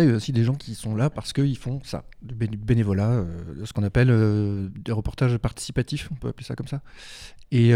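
Fast-talking, gravelly man. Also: mid-range voice, mellow, no room sound, studio quality. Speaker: member of a community TV association. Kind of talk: radio interview.

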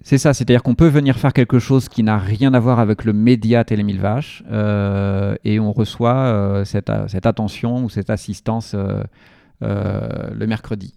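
A man's voice: fairly low pitch, fairly even intonation, normal speed, creaky, dark tone, clear, resonant tone, no echo, clean studio sound. A man from a community TV association explaining its work in a radio interview, hesitant and full of restarts.

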